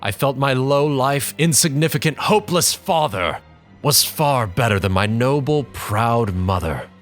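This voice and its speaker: A man performing a bitter young man who looks back on his mother with contempt, reading in a measured, brooding way with dramatic pauses. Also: medium pitch, animated, faint music behind, natural voice. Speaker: narrator in character.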